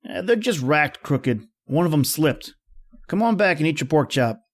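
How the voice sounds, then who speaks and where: A man's voice: a little high, big pitch swings, normal speed. A man playing a plain-talking, easygoing oil rig worker in an old-time radio horror drama, with a folksy, colloquial storytelling manner.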